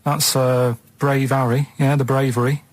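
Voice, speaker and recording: A man telling rambling anecdotes on a radio show in a halting, earnest, colloquial way. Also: medium pitch, level tone, no room sound.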